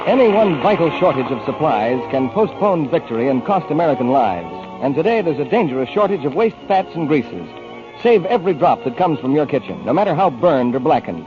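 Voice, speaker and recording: A man's voice: a little high, big pitch swings, brisk, music in the background. A man reading scripted advertising copy as a 1940s radio announcer. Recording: dull 1940s radio broadcast recording.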